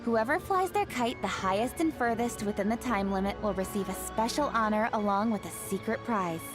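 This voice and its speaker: A woman's voice: medium pitch, normal speed, natural voice. A woman voicing a poised, knowledgeable young noblewoman in a video game, explaining things in a polite, composed way. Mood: gracious. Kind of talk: scripted video game dialogue. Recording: game music behind the voice.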